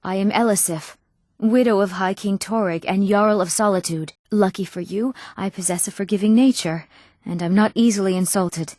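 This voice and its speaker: A woman's voice: mid-range voice, a little mellow, dry recording, studio quality, close to an everyday voice. A young woman playing an earnest, proper young townswoman and noblewoman in a fantasy video game, speaking politely and formally with careful enunciation. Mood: polite, composed. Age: young adult. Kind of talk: scripted fantasy game dialogue.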